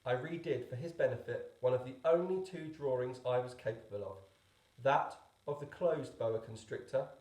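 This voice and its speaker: A man narrating a storybook as a teacher would, expressively, with deliberate pauses. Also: medium pitch, dark tone, echoey room, low-quality recording. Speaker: teacher reading a book aloud.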